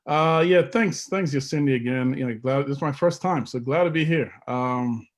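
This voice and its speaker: Man, medium-pitched, chatting casually. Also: moderate pace. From Liberia. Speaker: guest, investment consultant. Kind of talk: casual interview chat.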